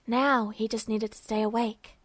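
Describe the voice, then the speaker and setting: Female amateur narrator for fiction, fairly high voice, dry, close sound. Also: steady tone, normal speed, no echo. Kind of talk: scripted story narration.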